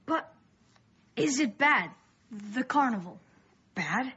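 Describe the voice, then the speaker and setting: A woman playing an earnest, anxious, curious young boy in a radio play, husky, with urgent questioning. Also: sits high, expressive, slow pace, sharp tone, heavily acted. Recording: dull, muffled lo-fi radio play.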